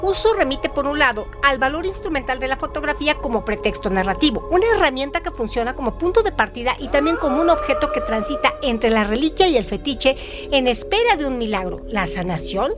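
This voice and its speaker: A woman's voice: high-pitched, very animated, fairly quick. A woman presenting on radio, reading a scripted literary essay in a formal, didactic way. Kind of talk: scripted literary essay narration with question hooks. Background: background music.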